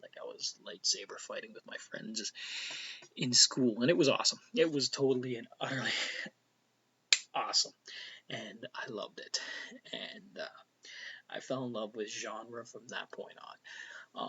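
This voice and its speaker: A man's voice: medium pitch, unhurried, long pauses, pushed and bright, husky, muffled recording. A middle-aged Canadian man with a Canadian accent, rambling casually as host of his own vlog, often sliding into a near whisper. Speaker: vlogger host.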